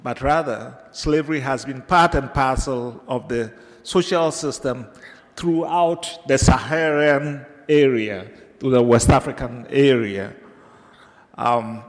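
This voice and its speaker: A man from Ghana with a Ghanaian accent, giving an unscripted academic lecture to teachers, hesitant with many filler pauses and digressions. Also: medium pitch. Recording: reverberant lecture hall.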